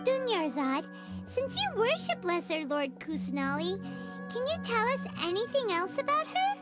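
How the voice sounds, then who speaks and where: Squeaky, very high childlike fairy companion. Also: female voice, normal speed. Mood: curious. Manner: excitable, exclaiming, speaks of self in third person. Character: small childlike female fairy companion, cheerful, excitable, indignant. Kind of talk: video game voice acting. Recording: music behind the voice. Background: background music.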